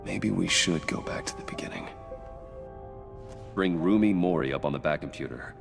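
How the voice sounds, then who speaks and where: An actor playing a brooding, serious vigilante in a video game, measured and grimly determined. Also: male voice, low-pitched, gravelly, music in the background. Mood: grimly focused. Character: brooding male billionaire vigilante, adult, grimly determined and analytical.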